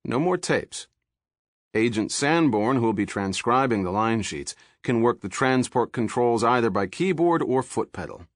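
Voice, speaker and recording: A man voicing a brisk male agent explaining equipment in a quick, matter-of-fact way. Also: medium pitch, expressive, dry recording, clean studio sound, close to an everyday voice.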